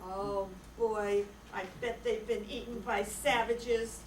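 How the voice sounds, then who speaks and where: A woman's voice: fairly high pitch, fairly even intonation, slow pace. A woman playing an eager, daring child castaway in an old-time radio play. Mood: excited, daring. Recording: echoey room, distant mic, noisy.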